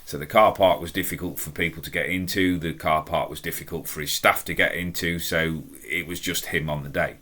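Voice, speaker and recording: A middle-aged man with a deep, strong voice, telling a personal story in a chatty, self-deprecating, emphatic way. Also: fairly even intonation, brisk, few pauses, pushed and bright. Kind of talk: casual anecdotal storytelling.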